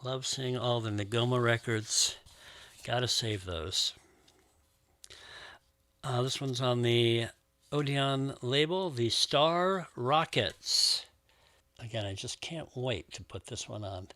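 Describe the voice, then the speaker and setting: A man's voice: medium pitch, takes it slowly, pauses often, slight background noise. A man chatting casually and enthusiastically as he unboxes old records and muses on each one. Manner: warm, musing, enthusiastic about records. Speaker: record collector and show host.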